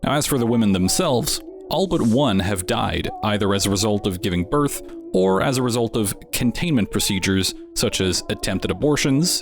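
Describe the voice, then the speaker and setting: A man hosting and narrating a video in a wry, conversational way. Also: lowish voice. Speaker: host. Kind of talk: scripted narration. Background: music.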